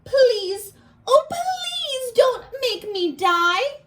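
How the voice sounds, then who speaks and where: A tiny storybook ant acted out by a woman, high-pitched and earnest. It pleads slowly, stretching its words in a small, whimpering voice that swings up and down. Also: sing-song, fairly bright tone, exaggerated voice. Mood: frightened, pleading. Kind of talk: picture book read-aloud for young children.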